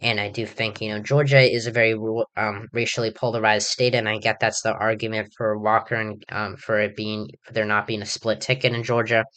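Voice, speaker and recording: A young man with a somewhat low, hoarse voice talks through political predictions off the cuff. He rambles, leans on fillers and keeps restarting his sentences. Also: little pitch movement, fairly quick, hardly any pauses, bright, forceful tone. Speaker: political commentator. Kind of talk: casual election prediction monologue.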